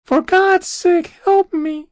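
A man voicing a tiny trapped soul in a story, pleading in a small, thin, squeaky piping voice. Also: steady tone, no echo, clean studio sound. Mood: desperate pleading. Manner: drawn-out desperate pleading. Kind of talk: dramatized storytelling.

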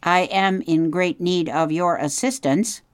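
A woman's voice: fairly low pitch, unhurried, smooth, no room sound, studio quality, voice pushed up, close to an everyday voice. A woman voicing a friendly, polite female robot in an audiobook, speaking formally without contractions. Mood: polite, earnest. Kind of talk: audiobook reading.

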